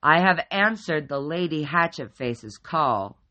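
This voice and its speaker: A man voicing a huge, formal male teddy bear demon with a booming, stiff way of speaking. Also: lively intonation, normal speed, bright, forceful tone. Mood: solemn booming. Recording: dull lo-fi recording.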